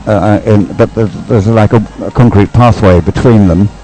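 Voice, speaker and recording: Deep-voiced man rambling through live sports commentary. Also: moderate pace, warm tone, poor audio. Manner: rambling, hesitant, thinking aloud. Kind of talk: live dragon boat race commentary, unscripted.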